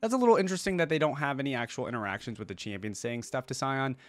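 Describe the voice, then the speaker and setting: A man's voice: medium pitch, very fast, dry recording. A man hosting a reaction video, talking casually and informally in chatty commentary with exclamations.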